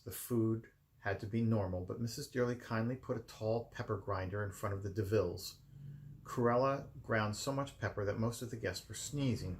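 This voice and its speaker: Low, creaky-voiced man reading a storybook. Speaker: amateur storybook reader. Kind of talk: scripted storybook narration. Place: his room at home.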